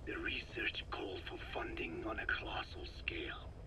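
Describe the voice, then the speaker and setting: A man playing a grave, sorrowful elderly scientist burdened by guilt in a video game, with a breathy rasp and solemn, deliberate delivery. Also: in a whisper, natural voice. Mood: regretful, bitter. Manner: slow, deliberate, solemn, with long pauses. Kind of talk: dramatic video game dialogue, confessional.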